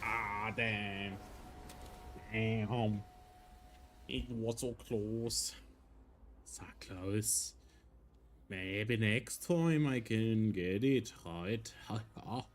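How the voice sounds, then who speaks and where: Slow, low-voiced German man streaming. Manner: thinking aloud, hesitant and halting. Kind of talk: casual gameplay commentary.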